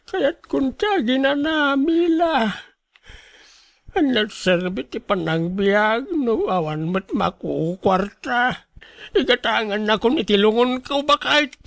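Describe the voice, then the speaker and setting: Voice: mid-range voice, creaky, fairly bright tone, no room sound, studio quality, exaggerated voice. An actor with an Ilocano accent playing a stubborn, despairing gambler in a radio drama, lamenting a loss in a wailing way. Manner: wailing, lamenting, repeats regrets. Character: despairing, stubborn person grieving money lost to gambling.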